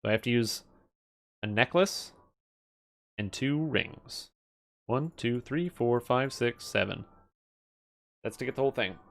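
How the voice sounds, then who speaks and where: Guy streamer, average pitch, talking to himself and chat, mumbly thinking aloud, swears casually. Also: measured pace, pauses often. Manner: muttering through item stats, profane. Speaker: game streamer.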